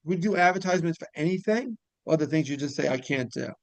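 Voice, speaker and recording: A man hosting a casual interview, rambling through halting questions that double back on themselves. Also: fairly high pitch, expressive, creaky, dry recording. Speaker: interviewer and show host.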